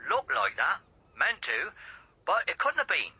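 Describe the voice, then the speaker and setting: Through a thin telephone filter comes a gruff local man in a radio play. His voice is piercing and rough-edged, swinging widely as he passes on news in clipped, probing phrases. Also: high-pitched, wildly swooping pitch, unhurried, pushed and bright, dry recording, heavily acted. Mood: urgent, insinuating. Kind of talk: radio drama acting. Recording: thin band-limited telephone line.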